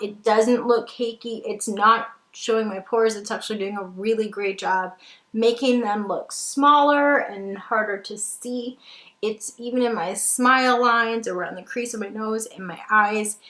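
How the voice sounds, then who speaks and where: A woman's voice: medium pitch, fairly bright tone. A woman in her twenties chatting through a makeup review, enthusiastic and a bit sniffly from a cold, with frequent ums. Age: 20s. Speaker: beauty vlogger. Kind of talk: casual makeup review chat. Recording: echoey room.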